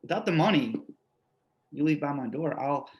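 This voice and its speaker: A man reading a play part as an anxious, pleading man, halting and imploring. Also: a little high, animated, dull audio, close to an everyday voice. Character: anxious, pleading man desperate to keep his housemate. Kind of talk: readers theatre play reading.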